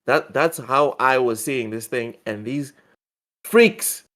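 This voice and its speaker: A man co-hosting a casual gaming news show, talking excitedly and often repeating words as he thinks. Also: mid-range voice, slow. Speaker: podcast co-host.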